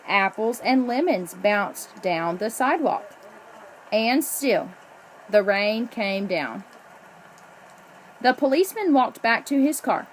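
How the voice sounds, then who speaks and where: A woman reading a children's picture book aloud in an expressive storytelling way, drawing out the ends of phrases. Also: on the high side, a little hiss or hum, rough recording. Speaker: storybook reader.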